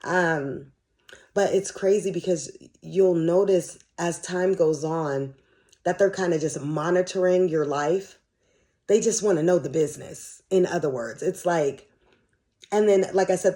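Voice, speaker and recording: A middle-aged American woman hosting a casual solo podcast, chatting candidly and with slang in a slightly dry, raspy, hoarse voice. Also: lowish voice, measured pace, warm tone. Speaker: podcast host.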